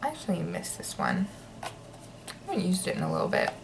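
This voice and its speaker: A woman's voice: fairly low pitch, huge pitch swings, quick pace, vocal fry. Woman beauty vlogger, casual and rambling, slightly nasal from a stuffy nose, faint noise in the background. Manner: chatty, many ums and likes. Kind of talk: casual product review.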